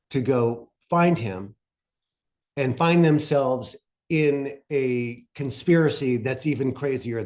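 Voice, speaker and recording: A man presenting in an informal workshop, talking in a conversational, somewhat halting way. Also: mid-range voice, a little mellow.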